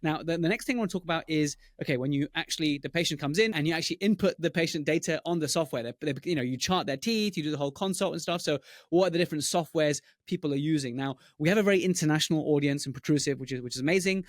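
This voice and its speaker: A man's voice: on the high side, dry recording, studio quality. A man hosting a dental podcast, chatting casually with his guest and often restarting his words. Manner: quick, many restarts. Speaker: podcast host and dentist.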